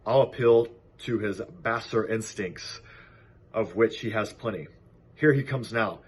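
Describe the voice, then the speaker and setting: A man reads as an old sheep, elder of the barnyard. He talks in a husky voice, blunt and firm, persuading in storybook style. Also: on the low side, a little slow. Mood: confident. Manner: firm, reasoning.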